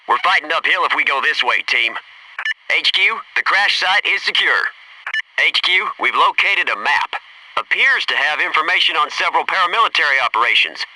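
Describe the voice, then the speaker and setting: An actor playing a focused, businesslike Navy SEAL in a military video game, giving terse, clipped tactical callouts and matter-of-fact reports. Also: male voice, fairly high pitch, lively intonation, moderate pace, sharp tone, far from the mic, a little hiss or hum, low-quality recording. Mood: alert, cautioning.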